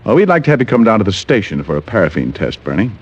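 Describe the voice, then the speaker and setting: An actor playing a smooth, wisecracking private detective in 1940s radio drama, questioning in a dry, teasing, unhurried way. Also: male voice, on the low side, very animated. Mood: calm, insistent. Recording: old muffled radio recording, music behind.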